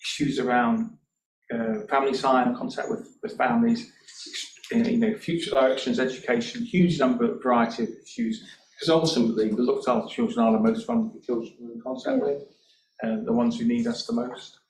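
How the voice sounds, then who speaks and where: A man gives a council committee his professional view, stressing his points with deliberate repetition. He is heard from a distance in an echoey meeting room, with faint hiss behind him. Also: medium pitch, a little mellow. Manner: deliberate, emphatic, with repeated words. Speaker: independent reviewing officer manager.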